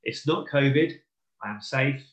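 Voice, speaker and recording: A man's voice: mid-range voice, unhurried, echoey room. A man from Norfolk co-hosting a casual live podcast, chatty and self-deprecating, with a stuffy nose from a cold. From Norfolk, England. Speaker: podcast co-host.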